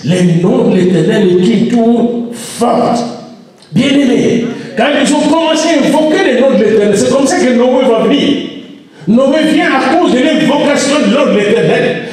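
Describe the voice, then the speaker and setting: Echoey, animated high-pitched male preacher. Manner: emphatic delivery with repeated exhortations and addresses to the congregation. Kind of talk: sermon. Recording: echoey room, distant microphone.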